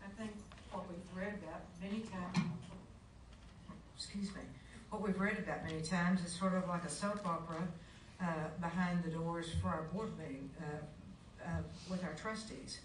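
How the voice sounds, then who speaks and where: A woman's voice: fairly low pitch, steady tone, normal speed. A woman giving an unscripted, hesitant answer as a candidate at a public forum. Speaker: school board candidate. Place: large meeting board room. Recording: roomy distant mic, faint noise.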